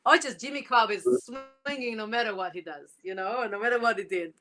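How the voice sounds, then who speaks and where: A woman with a strong Israeli accent talks in a bright, clear tone as an interview guest. She speaks loosely, repeating words and restarting her phrases while searching for what she means. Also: on the high side, a little fast, faint music behind. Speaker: guest musician. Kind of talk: casual interview talk.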